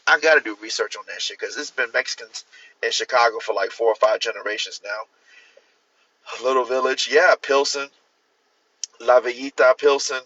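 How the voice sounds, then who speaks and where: A man from Miami chatting casually on a livestream, rambling with restarts and jumping up in pitch to stress a point. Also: moderate pace, pushed and bright, husky, lo-fi sound. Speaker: author hosting a livestream. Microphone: phone or computer mic.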